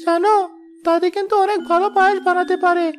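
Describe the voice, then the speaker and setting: A performer voicing an eager, pleading little village boy in an animated moral story, earnest and coaxing. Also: extremely high pitch, fast, soft background music. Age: child character.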